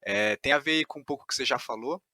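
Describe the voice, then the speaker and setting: A man's voice: medium pitch, fast talker, sharp tone, breathy edge, no echo, studio quality. A man hosting a podcast interview, asking long, rambling questions with many fillers. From São Paulo. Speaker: podcast host.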